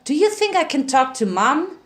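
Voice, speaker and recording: A woman's voice: high voice, big pitch swings, normal speed, voice pushed up. A woman voicing an earnest, tender young male baby dragon in a story reading. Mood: pleading, earnest.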